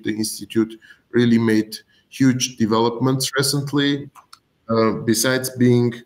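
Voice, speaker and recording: A man hosts a live online event in a lowish voice. He speaks haltingly, often searching for the next word, as he introduces speakers over a video call. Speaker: host. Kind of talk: hosting a live telethon, introducing guests.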